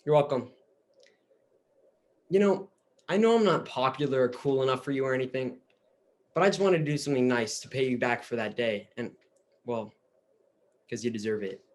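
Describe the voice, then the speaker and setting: A man's voice: medium pitch, normal speed, long pauses, lightly acted. Man acting as a shy earnest young guy with a crush, sincere, a bit goofy, stage comedy. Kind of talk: stage reading of a comic play. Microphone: video call.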